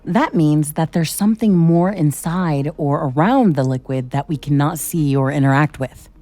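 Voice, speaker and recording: An actress playing a curious, determined scientist-commando in a video game, speaking in an earnest, articulate way. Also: female voice, low-pitched, normal speed, mellow, natural voice. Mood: analytical. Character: curious, determined female scientist-commando exploring an alien world. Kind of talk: scripted video game dialogue.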